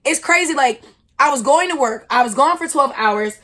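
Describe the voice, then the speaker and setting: Blunt, loud young woman storyteller. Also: sits high, moderate pace. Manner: animated, blunt, slangy. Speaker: YouTuber. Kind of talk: storytime vlog.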